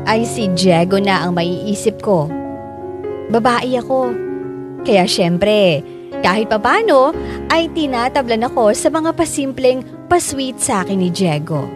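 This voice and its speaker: A woman's voice: mid-range voice, lightly acted. A Filipino woman playing a lovelorn, conflicted schoolteacher in a radio drama. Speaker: voice actor and narrator in a radio drama. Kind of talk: Filipino radio drama acting and first-person narration.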